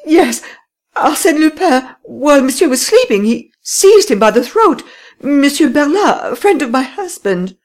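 A woman reading an audiobook voices a wealthy, theatrical lady who gasps out breathless, high exclamations. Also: big pitch swings, normal speed. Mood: hysterical. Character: rich frightened lady, excitable and theatrical.

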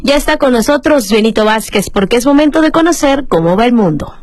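A woman's voice: a little high, lively intonation, a little fast, noisy background. A woman with a Mexican Spanish accent and a stuffy nose from a cold, hosting a casual radio show. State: congested from a cold. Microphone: studio microphone.

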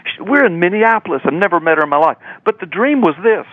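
An older man tells a long story with earnest emphasis and stumbling repeats. His voice sits a little high. It comes through a thin, dry phone line. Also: fast talker, no room sound. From US Gulf Coast. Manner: earnest, emphatic, repeats words when excited. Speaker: radio guest, preacher. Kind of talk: animated storytelling on a phone-in radio interview.

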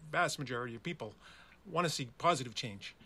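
A man's voice: medium pitch, expressive, fast talker, sharp tone, husky. A man talking informally about community events, as one of their organisers, in a casual conversation.

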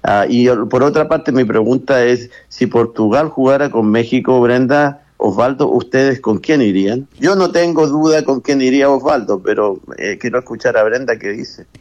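A man's voice: mid-range voice, normal speed, hardly any pauses, darkish tone, no echo, highs cut off. A man serving as coach pundit who analyzes football with a hesitant delivery full of restarts. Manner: hesitant, many fillers and restarts. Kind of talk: sports analysis.

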